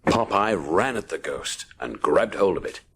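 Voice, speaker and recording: A man's voice: low voice, very animated, unhurried, fairly bright tone, a little hiss or hum. A man narrating a children's adventure story dramatically, punching out the action words. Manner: punchy, theatrical sound-effect words.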